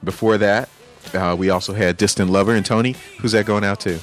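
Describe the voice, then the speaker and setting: A man's voice: on the low side, fairly even intonation, a little fast. A man hosting a radio music show, chatting casually with his co-host and laughing often.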